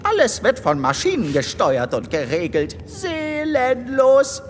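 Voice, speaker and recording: A woman's voice: fairly high pitch, wildly swooping pitch, measured pace, a little hiss or hum. An actress playing a sarcastic, disdainful female guide in an audio drama. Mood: scornful. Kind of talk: German audio drama acting. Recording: long reverb, faint music.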